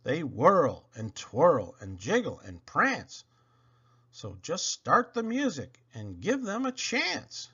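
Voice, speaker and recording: A man reading storybook poetry aloud to children in a theatrical, expressive way with dramatic pauses. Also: fairly high pitch, breathy edge, muffled recording. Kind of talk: animated storybook read-aloud.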